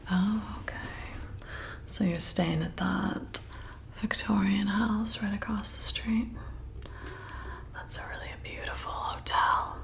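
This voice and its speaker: A woman playing a flirty, welcoming vampire bartender in an ASMR roleplay, whispering in a breathy, intimate, coaxing way. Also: medium pitch. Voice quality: breathy whisper with creaky edges. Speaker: ASMR performer.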